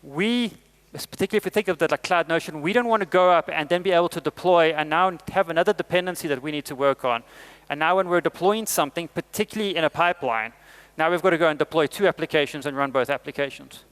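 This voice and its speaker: A middle-aged South African man delivers a conference talk in a fairly high voice. He leans on emphatic rises to stress key points. Frequent "uh" fillers break up his phrases. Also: fast, fairly bright tone, clear, resonant tone. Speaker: conference speaker.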